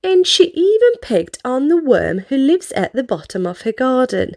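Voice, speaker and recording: A high-voiced woman reads a children's story aloud. Her delivery is animated, swinging widely in pitch, and she speaks directly to young listeners. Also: moderate pace. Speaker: children's book reader.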